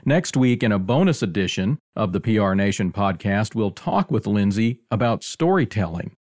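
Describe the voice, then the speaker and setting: A man's voice: fairly low pitch, very animated, normal speed, darkish tone, dry recording, clean studio sound. A man hosting a podcast in a conversational presenting style. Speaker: podcast host.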